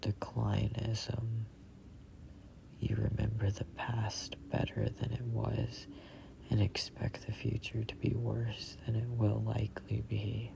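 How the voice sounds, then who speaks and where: Speaker in their twenties, whispered ASMR book reading, very creaky, drawn-out pace, halting delivery. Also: medium pitch, monotone, background noise. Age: 20s. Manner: halting, deliberate phrasing with stumbles over words. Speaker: reader of a self-help book. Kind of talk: whispered ASMR read-along.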